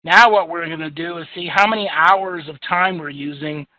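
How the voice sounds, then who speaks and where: Male presenter, booming and relaxed with fillers, pitched a bit high; dry, phone-like recording. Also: moderate pace, no room sound. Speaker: software demonstrator. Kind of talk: software screen-share demo. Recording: tinny phone-like audio, dry.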